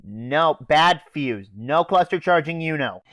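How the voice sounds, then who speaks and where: A man's voice: on the high side, expressive, takes it slowly, fairly bright tone, no echo. A teenage boy casually recapping an anime episode in an offhand, hesitant way with fillers and swearing. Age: teens. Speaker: anime reviewer.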